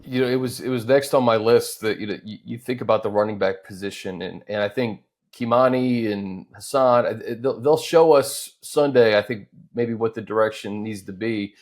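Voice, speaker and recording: A man's voice: lowish voice, moderate pace, a little mellow. An American man hosting a sports show, chatting casually in loose, conversational phrasing.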